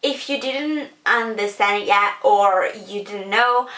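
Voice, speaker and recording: A woman's voice: medium pitch, measured pace, bright, forceful tone. A young woman from Sicily with an Italian accent, chatting casually to camera as a vlogger, full of fillers and self-corrections. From Sicily, Italy. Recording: echoey room, mic at a distance.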